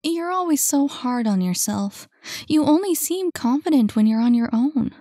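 A woman reading a storybook and voicing a gentle, caring young woman who speaks softly and pleadingly to a troubled friend. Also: high voice, animated, moderate pace, dry recording, studio quality, pitched above the performer's own voice. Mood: tender. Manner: soft, pleading, concerned.

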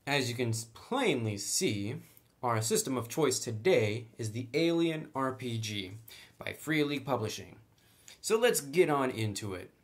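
A man with a mid-pitched voice hosts a tutorial. He explains things casually and conversationally, with informal, slangy wording, as if guiding viewers step by step at a table. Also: big pitch swings, a little slow. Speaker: host of a tabletop game tutorial channel. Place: indoors at a tabletop.

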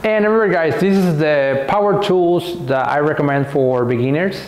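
Halting man in an echoey workshop. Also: on the high side, a little mellow. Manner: halting, repeats words, many fillers; gestures with hands while talking. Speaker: woodworking YouTuber. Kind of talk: casual tool recommendation vlog. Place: home workshop, indoors.

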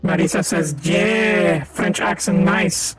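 A man with a high voice and a thick Venezuelan accent from Maracaibo chats and laughs through a co-op shooter stream. He is a native Spanish speaker who keeps calling out enemies. Loud game music plays behind him. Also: slow. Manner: chatty, laughs often, calls out enemies. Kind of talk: casual co-op gaming chat.

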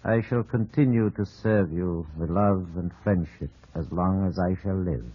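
A man acting a devoted, resigned gentleman suitor reciting a letter solemnly in a 1950s radio drama. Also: sits low, a little slow, dry recording. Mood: resigned, tender. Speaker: actor in a radio play. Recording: dry, muffled old radio recording.

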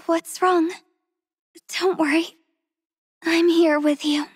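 Soft, tender game heroine, young and devoted, high-pitched, slow and breathy, dry studio sound. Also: female voice, little pitch movement, no echo. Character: gentle devoted young woman healer, soft-spoken and tender. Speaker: voice actress for a game character. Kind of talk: game character voice lines.

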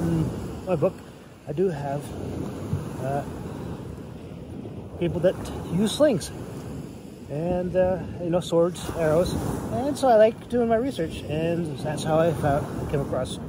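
Man, thirties, slightly high voice, halting casual reviewer, recorded outdoors with clear wind and water noise. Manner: hesitant, halting, rambling. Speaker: writer and hobbyist reviewer. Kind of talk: casual product show-and-tell. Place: outdoors on a beach shore.

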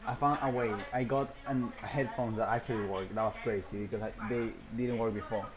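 A man's voice: medium pitch, fairly even intonation, brisk, a crowd in the background, poor audio. A man chatting casually on a livestream as a gaming streamer, rambling and hesitant and often repeating himself.

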